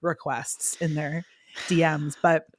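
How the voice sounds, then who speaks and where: A woman's voice: low voice, little pitch movement, takes it slowly, no room sound. Young woman rambling in dry close sound. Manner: rambling, filler-heavy, many "like"s and restarts. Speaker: podcast co-host. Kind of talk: casual chat between sisters.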